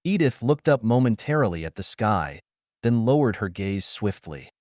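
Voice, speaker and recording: Low-pitched, expressive male audiobook narrator. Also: normal speed, no room sound, studio quality. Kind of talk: scripted dramatic story narration.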